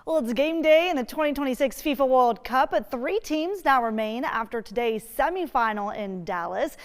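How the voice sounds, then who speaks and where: A woman anchoring a local evening newscast with bright, upbeat scripted delivery. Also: sits high, expressive, moderate pace, big-room sound. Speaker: news anchor.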